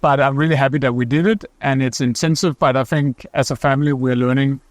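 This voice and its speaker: A man's voice: medium pitch, fairly even intonation, quick pace, no room sound. Thoughtful man in casual chat. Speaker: podcast co-host.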